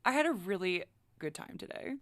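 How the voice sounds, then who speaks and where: A woman playing a confident, flirtatious academic in an audio drama, teasing with a playful deadpan. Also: mid-range voice, brisk, dry recording. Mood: warm, flirtatious.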